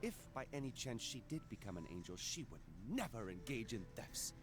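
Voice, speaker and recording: A man's voice: medium pitch, very animated, brisk, close to an everyday voice. A man voicing a proud, righteous demon lord in an anime-style video game, solemn and grandiose, over music. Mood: firm, guarded. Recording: loud game music underneath.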